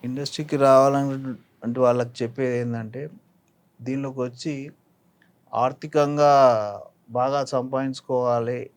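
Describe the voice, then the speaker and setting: A man's voice: medium pitch, flat delivery, unhurried, long pauses. Older Telangana man, reflective and instructive. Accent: Telangana Telugu. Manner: didactic, illustrating points with examples. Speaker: actor being interviewed. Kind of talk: reflective interview talk with anecdotes and advice.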